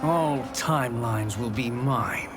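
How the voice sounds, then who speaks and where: A man playing an arrogant, scheming sorcerer villain in a video game, speaking in a haughty, deliberate, formal way. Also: mid-range voice, close to an everyday voice. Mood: menacing. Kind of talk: video game cutscene acting. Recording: loud music behind the voice.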